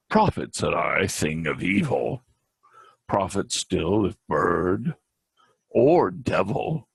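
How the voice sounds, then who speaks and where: A man giving a theatrical dramatic poetry recitation in a gravelly, husky voice, with drawn-out pauses and emphatic repeats. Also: low voice, no room sound. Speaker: amateur reader of a classic poem.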